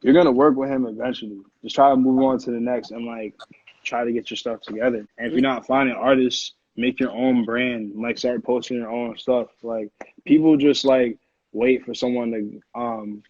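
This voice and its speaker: A man's voice: medium pitch, steady tone, fairly quick, darkish tone, slightly rough, no echo. An American man from Connecticut, a music producer chatting casually as an interview guest in informal slang peppered with "like". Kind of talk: casual chat. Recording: dull, lo-fi audio.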